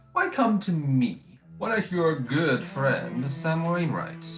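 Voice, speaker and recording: A man playing a cruel, gloating old banker in a radio play, with a sneering, deliberate delivery. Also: on the high side, sing-song, music in the background. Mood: smug, probing. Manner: sneering, deliberate, drawn-out phrasing. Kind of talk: radio drama acting.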